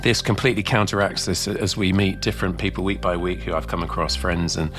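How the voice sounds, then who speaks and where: A man's voice: deep voice, steady tone, brisk, background noise. A man hosting a faith podcast, who welcomes listeners and his guest with enthusiasm. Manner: enthusiastic, warm-hearted welcomes. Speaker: podcast host. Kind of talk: podcast interview.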